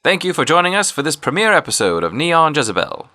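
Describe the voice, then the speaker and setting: An upbeat, polished radio serial announcer, acted by a man delivers an emphatic sales pitch. His voice is raised a little in pitch and very bright, with lively swings of intonation. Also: fast, soft background music. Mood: upbeat, selling.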